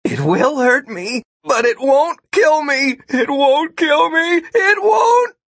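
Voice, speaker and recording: A man voicing an excitable, argumentative adventurer in a storybook, with emphatic, urgent delivery. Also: very high voice, takes it slowly, no room sound, studio quality, voice pushed up, exaggerated voice. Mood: panicked.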